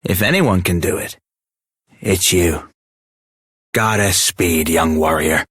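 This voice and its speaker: An actor playing a kindly, excitable veteran smith in a video game, theatrical, wistful and earnest in manner. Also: male voice, low voice, takes it slowly, breathy edge, no echo, studio quality, heavily acted. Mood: warm, solemn. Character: kindly, excitable veteran armour smith, old comrade of a hero. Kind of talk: scripted video game voice acting.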